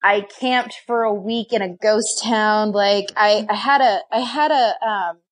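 A woman's voice: medium pitch, steady tone, measured pace. A young woman, a podcast guest, telling stories about her past in a chatty way, laughing often and acting out what she once said to herself. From raised in many countries, partly Scotland and Nevada. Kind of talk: casual storytelling.

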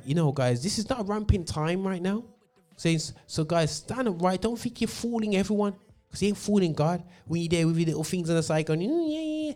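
Mellow-voiced gospel radio host. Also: male voice, a little high. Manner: passionate, ranting, many fillers. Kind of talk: opinionated radio monologue. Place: studio.

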